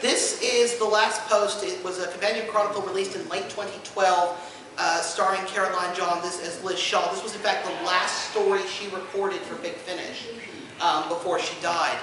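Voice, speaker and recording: A very echoey, noisy room carries this man's voice from some distance. He is presenting at a fan panel in a high-pitched voice, informal and detailed in his explanations. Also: steady tone, moderate pace, a crowd in the background. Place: convention panel room, indoors.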